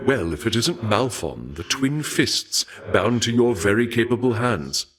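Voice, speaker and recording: A man playing a refined, bloodthirsty god of war in a video game, speaking in a formal, measured, menacingly courteous way. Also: mid-range voice, expressive, a bit bright. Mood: composed admiration. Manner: formal, courtly, measured, savouring talk of violence. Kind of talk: theatrical video game character dialogue.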